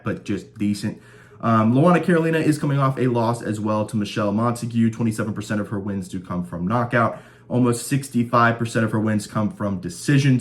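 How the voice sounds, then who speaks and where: A young man hosting a casual fight prediction show, joking and rambling, slightly stuffed up from a cold. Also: medium pitch. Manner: laid-back, joking, rambling with fillers. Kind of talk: casual sports prediction chat.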